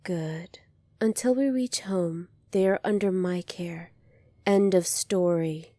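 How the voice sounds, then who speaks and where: A woman playing a poised, kindly young alien princess in an ASMR roleplay, polite, formal and measured, soft-spoken with breathy moments. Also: fairly low pitch, dry recording. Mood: firm, composed.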